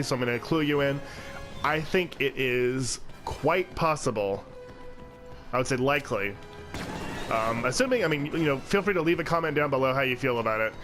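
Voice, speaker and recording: Stuffy-voiced man rambling over gameplay. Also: mid-range voice. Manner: rambling, with frequent fillers and restarts. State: congested from a cold, sniffling. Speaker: let's play channel host. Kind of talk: casual gameplay commentary.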